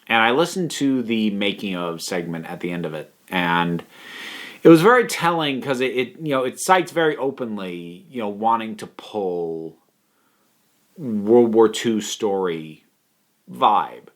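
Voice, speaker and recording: A man giving a casual, opinionated review, leaning with deliberate emphasis on key words. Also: on the low side, expressive.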